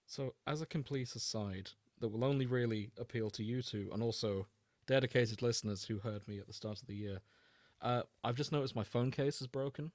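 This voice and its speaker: A man's voice: mid-range voice, fairly quick, a little mellow, no echo. A man hosting a podcast, chatting casually and jokily with the odd mock-announcer aside. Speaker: podcast host. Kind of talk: casual comedic podcast chat.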